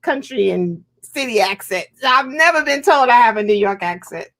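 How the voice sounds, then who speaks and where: A woman's voice: on the high side, lively intonation, moderate pace, a bit bright. A woman from Alabama with an Alabama accent, hosting a casual chat and teasing her guest with lots of laughter. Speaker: host.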